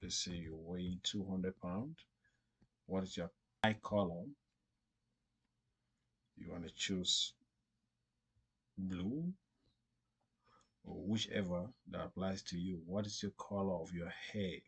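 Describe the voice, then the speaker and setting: A man with a deep voice presents a step-by-step tutorial. His delivery is slow and halting, circling back to repeat instructions. The tone is a little rough and unclear at the edges. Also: steady tone, a bit bright. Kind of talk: step-by-step screen tutorial narration, unscripted.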